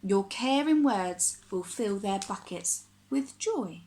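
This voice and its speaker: Slow, animated woman reading to children. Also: medium pitch, low-quality recording. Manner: expressive, deliberate phrasing for young children. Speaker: primary school teacher reading aloud. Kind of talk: children's picture book read-aloud.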